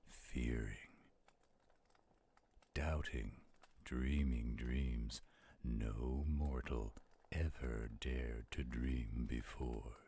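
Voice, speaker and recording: A man voicing a slow-spoken werewolf who solemnly recites gothic poetry in an audio roleplay, with deliberate, gravelly delivery. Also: rumbling low, dark tone, heavily acted. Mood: brooding, solemn. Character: slow-spoken male werewolf reading a poem by campfire.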